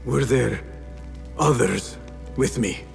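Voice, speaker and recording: An actor playing a defiant young warrior of a secret order in a video game, grave and intense. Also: male voice, medium pitch, takes it slowly, vocal fry, faint music behind. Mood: grave, urgent. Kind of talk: dramatic video game acting.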